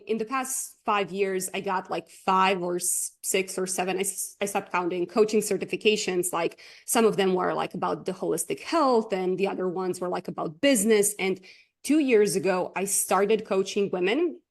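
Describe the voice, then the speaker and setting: A woman's voice: medium pitch, moderate pace. A woman from Croatia, speaking English, chatting as a guest coach on a podcast with lots of fillers and frequent laughter. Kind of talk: casual interview chat.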